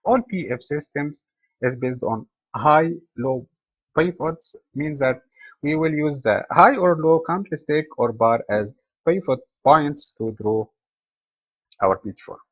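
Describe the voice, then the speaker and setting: A man from Egypt presenting a trading webinar as host, delivering a steady scripted lecture with non-native phrasing. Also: on the high side, takes it slowly, no echo, dull audio.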